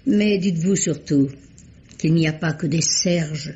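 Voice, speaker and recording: A woman's voice: low-pitched, unhurried, mellow, breathy edge, heavily acted. An actress playing an older lady detective, composed and motherly but firm, in an old radio drama. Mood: reassuring. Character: older lady sleuth, kindly and shrewd. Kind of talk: 1950s radio drama acting. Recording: dull old radio recording.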